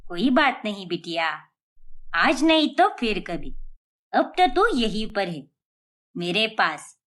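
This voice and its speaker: A woman's voice: mid-range voice, big pitch swings, fairly bright tone, pitched below the performer's own voice. A woman voicing a dramatic, doting mother-in-law in a cartoon story, pleading and melodramatic. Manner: pleading, melodramatic, drawn-out words.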